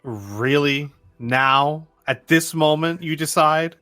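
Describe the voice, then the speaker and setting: A man's voice: a little high, slow pace, pushed and bright, soft background music, voice pushed up. A man voicing a sardonic disembodied voice inside a character's head, exasperated and sarcastic.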